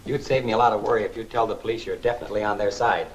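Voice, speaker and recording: A man playing an anxious, loyal sidekick in an old film serial. He talks very fast in a lowish, earnest voice, with faint hiss on the vintage soundtrack. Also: steady tone, close to an everyday voice, low-quality recording. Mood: worried. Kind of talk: 1940s film serial acting. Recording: hissy 1940s film soundtrack.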